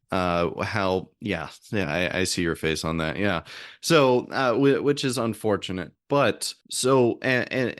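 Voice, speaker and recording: American male podcast host, low voice, dry close sound, discursive and self-correcting. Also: fairly bright tone, clean tone, no echo. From southern United States. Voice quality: deep voice. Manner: rambling, hesitant, self-correcting; extroverted. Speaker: podcast host, music theorist. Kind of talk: conversational interviewing.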